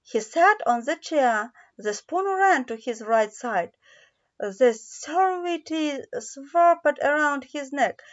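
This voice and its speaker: A woman narrating a children's story in a careful, halting read-aloud way. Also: sits high, lively intonation. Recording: dull lo-fi recording.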